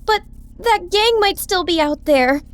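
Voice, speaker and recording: Very high, eager young woman sidekick. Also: measured pace. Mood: anxious. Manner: eager, openly expressive. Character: short, youthful, enthusiastic, easily awed woman sidekick. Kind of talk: dramatised science fiction audiobook acting.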